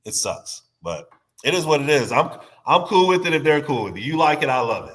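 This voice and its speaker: A man's voice: a little high, big pitch swings, fairly quick, a bit bright. A man with a stuffy nose, guesting on a casual podcast chat, rambling and restarting his sentences. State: stuffy nose, under the weather.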